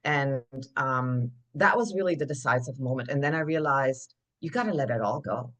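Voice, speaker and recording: A woman, a podcast guest telling her story in an animated, emphatic way, full of "like" fillers. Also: rumbling low, normal speed. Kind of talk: conversational interview storytelling.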